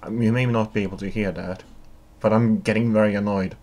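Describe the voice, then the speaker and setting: A man's voice: fairly low pitch, fairly even intonation, fast, a little mellow. A man with a Swedish accent answering viewer questions alone in a dry, wry, wordy monologue. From Sweden. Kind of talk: solo Q&A monologue.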